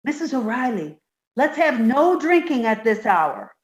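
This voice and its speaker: A woman's voice: on the high side, big pitch swings, unhurried. A woman playing a sweet, scatterbrained and innocent young woman in a radio comedy. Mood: earnest, concerned. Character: sweet, dim-witted naive young woman, Irma. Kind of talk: 1950s radio comedy acting. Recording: echoey room.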